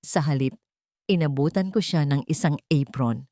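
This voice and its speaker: A woman with a Filipino accent narrates a scripted first-person story in a measured, dramatic and sentimental manner. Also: sits low, dark tone, no echo, studio quality.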